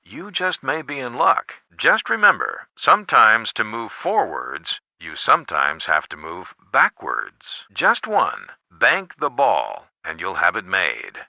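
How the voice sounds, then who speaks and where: Folksy game mentor giving hints, super bright voice, really swoopy intonation, encouraging, dry sound. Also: male voice, fairly low pitch, no echo, exaggerated voice. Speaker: hint giver in an adventure game. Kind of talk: scripted video game voice acting.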